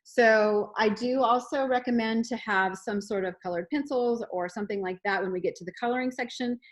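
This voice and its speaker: A woman's voice: fairly high pitch, fairly quick. A woman fitness coach hosting an online workshop, upbeat and chatty with plenty of fillers. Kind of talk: casual workshop talk.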